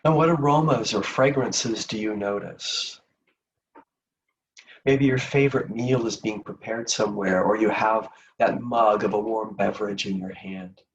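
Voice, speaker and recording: A man leading a guided meditation in a gentle, soothing, unhurried manner with long pauses between phrases. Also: on the low side, far from the mic, poor audio.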